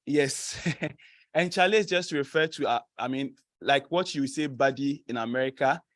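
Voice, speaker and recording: A man's voice: medium pitch, moderate pace, a bit bright, dry recording, studio quality. Laughing Ghanaian man presenting casually. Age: young adult. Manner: chatty, laughs often, many fillers. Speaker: student presenter. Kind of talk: informal presentation to an audience.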